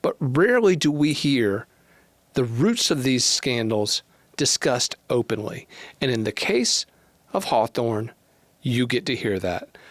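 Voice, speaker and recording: A middle-aged man delivering scripted news commentary in a deliberate, measured way into a close studio microphone. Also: mid-range voice, sing-song, no room sound. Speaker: news host. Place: small indoor studio. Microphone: close podcast microphone on a boom arm.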